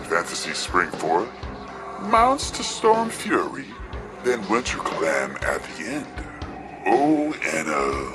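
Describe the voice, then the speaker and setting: A man playing a lovesick poet, reciting overwrought verse in a breathy, melodramatic, drawn-out way. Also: on the low side, full character voice. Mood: stormy passion. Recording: music laid loudly behind voice. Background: loud music.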